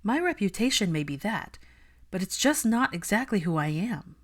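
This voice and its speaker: An assertive, articulate witch from a fan-fiction audiobook, acted out by a woman reader. She speaks in measured, formal sentences with a pointed edge, standing her ground. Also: mid-range voice, very animated, pitched above the performer's own voice. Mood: earnest, firm. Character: composed, assertive adult witch, defiant and articulate.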